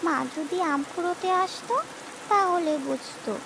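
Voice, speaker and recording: A woman's voice: very high voice, moderate pace, noisy background, voice pushed up. A woman voicing a stubborn young village girl in a cartoon, with a childlike, coaxing way of talking. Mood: carefree. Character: stubborn, pleading young village girl who loves rain. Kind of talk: cartoon voice acting. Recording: rain sounds over voice.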